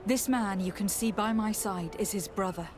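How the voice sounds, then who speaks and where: A woman's voice: mid-range voice, moderate pace, lightly acted. An actress playing a composed, diplomatic noblewoman envoy in a video game, formal and polite. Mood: calm, probing. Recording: dry voice, faint game music. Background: faint music.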